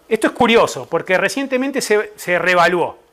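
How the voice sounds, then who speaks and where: A middle-aged Argentine man lectures on nutrition with an Argentine Spanish accent. His voice sits fairly high for a man as he explains each point patiently. He keeps checking in with the room through quick asides. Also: expressive, fairly bright tone. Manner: explanatory, checks in with the audience by asking "¿verdad?" and "¿eh?". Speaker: nutritionist giving a public talk. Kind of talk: informal lecture to a live audience.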